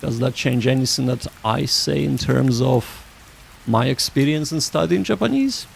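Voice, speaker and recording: A man hosting a livestream, chatting casually with viewers in a halting, rambling way that often restarts sentences. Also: mid-range voice, expressive, gravelly, a little hiss or hum. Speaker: livestream host.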